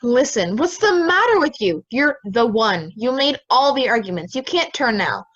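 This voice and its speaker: A young woman acting a stubborn, hot-tempered juror in an audio drama. The voice is high and very animated, blunt and combative, on a slightly dull recording. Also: normal speed. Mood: angry, pleading. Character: stubborn, hot-tempered juror dead set on a guilty verdict.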